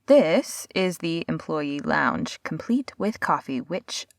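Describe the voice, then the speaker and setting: A woman's voice: low voice, big pitch swings, takes it slowly, vocal fry, full character voice. A woman playing a sarcastic, brusque but friendly workaholic researcher in a sci-fi audio roleplay, with a casual, wry delivery.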